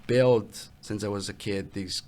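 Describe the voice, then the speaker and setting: A young adult man from Italy with an Italian accent, a guest musician talking casually in an interview, relaxed and halting as he restarts his phrases. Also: lowish voice.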